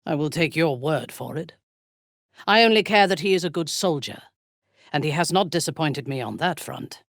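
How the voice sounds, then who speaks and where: A woman playing a cruel, haughty dark elf warlord in a fantasy video game, speaking formally and deliberately. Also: low-pitched, animated, creaky, dry recording, clean studio sound. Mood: stern. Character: cruel, imperious female dark elf warlord, cold and devout. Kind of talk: dramatic fantasy game voice acting.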